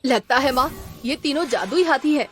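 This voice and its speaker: A woman's voice: high voice, lively intonation, moderate pace, fairly bright tone. A woman voicing an earnest, dutiful young village boy in a children's cartoon story.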